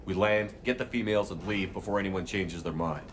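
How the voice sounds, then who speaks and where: An actor playing a steady human military commander in a video game, firm, measured and diplomatic. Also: male voice, deep voice, a little hiss or hum, natural voice. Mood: calm, instructing.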